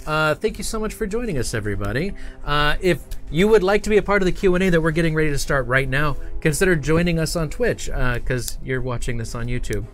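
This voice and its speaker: A man's voice: a little high, animated, fast talker, hardly any pauses, a bit bright, soft background music. A man hosting a livestream in a friendly, informal, chatty style. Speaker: livestream show host. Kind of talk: casual chat and hosting.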